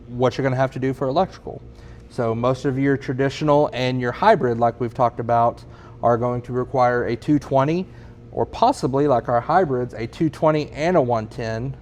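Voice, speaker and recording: A man co-hosting a casual chat in a showroom, giving relaxed sales advice and filling his sentences with lots of "um" and "you know.". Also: medium pitch, slight background noise. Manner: relaxed, many ums and you-knows. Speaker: sauna store staff co-host.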